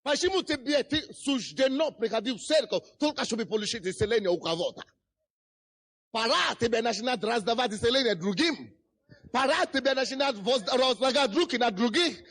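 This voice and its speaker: High-voiced preacher with a foreign accent. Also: male voice, animated, brisk. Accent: non-native Russian. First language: not Russian. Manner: impassioned preaching, shouting and chanting. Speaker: pastor. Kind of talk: sermon. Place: church.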